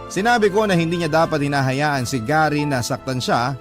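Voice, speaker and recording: A man's voice: fairly high pitch, fairly quick, lightly acted. A man with a Filipino accent playing a protective young storyteller, narrating his love story earnestly in a scripted radio drama. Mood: reflective, earnest. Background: background music.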